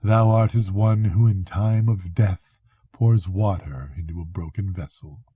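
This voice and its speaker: A man's voice: deep voice, a little slow, warm tone, breathy edge, no echo. An older man voicing a sly, evil, tempting soul in a storybook reading, with coaxing, archaic speech. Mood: mocking.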